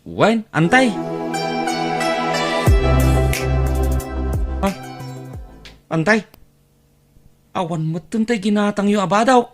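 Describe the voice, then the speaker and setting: A woman playing a put-upon, quietly resentful young relative who works as the family driver, acting in an Ilocano audio drama with an Ilocano accent. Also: mid-range voice, measured pace, over loud music, natural voice. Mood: meek, hesitant.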